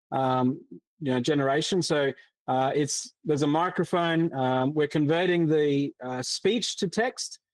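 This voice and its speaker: A man with a fairly high voice walks through a coding demo in a loose, unscripted way, full of ums and restarts. The sound is dry and close. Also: no room sound, rough recording. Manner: casual, many fillers and restarts. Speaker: presenter. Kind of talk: explaining a tech demo.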